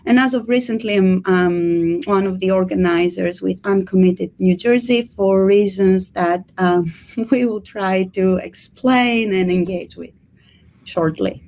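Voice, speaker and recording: A woman from Greece in her thirties with a Greek accent, a history lecturer speaking in an interview with many pauses and ums. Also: medium pitch, a little mellow. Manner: hesitant, many ums and restarts. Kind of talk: casual interview talk.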